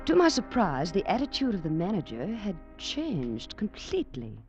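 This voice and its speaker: A woman's voice: mid-range voice, wildly swooping pitch, normal speed, darkish tone, dull audio. An actress playing a distraught, breathless young woman in an old radio drama, anxious and stammering. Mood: haunted narration. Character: distraught young woman searching for her missing mother. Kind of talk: 1940s-50s radio drama acting with first-person narration. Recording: old radio recording with music behind.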